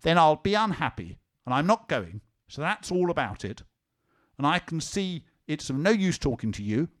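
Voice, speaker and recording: A man's voice: mid-range voice, big pitch swings, normal speed, lightly acted. A man voicing a stubborn, sulky little girl who speaks sharply and scornfully. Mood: scornful, defiant.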